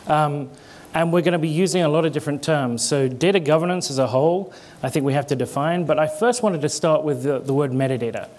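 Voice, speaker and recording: A man's voice: a little high, fast, a little mellow. A middle-aged British man with an American-tinged British accent, giving a conversational conference presentation on stage. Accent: British with American twang. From Britain. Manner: conversational, gestures while explaining, restarts words. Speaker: conference speaker. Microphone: headset mic.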